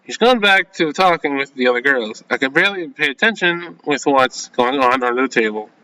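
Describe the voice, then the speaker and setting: A man hosting a gaming video, reading a visual novel aloud and breaking off into flustered, halting, slangy reactions. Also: medium pitch, expressive, pushed and bright, poor audio. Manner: flustered, halting, self-censoring with casual slang.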